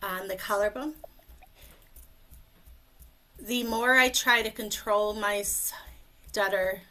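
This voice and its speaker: A woman speech coach leading a guided tapping session, speaking slowly and calmly in short phrases for a client to repeat, over a video call. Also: medium pitch. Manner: slow, calm, leads phrases for repetition.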